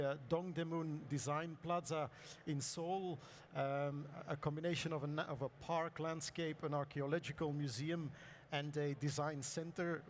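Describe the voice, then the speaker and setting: Man, hoarse voice, conference talk, hesitant, lots of ums, slightly high pitch. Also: slight background noise. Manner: hesitant, many ums and uhs, clears throat. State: hoarse, lost voice. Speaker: architect presenting. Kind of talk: conference presentation. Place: conference hall.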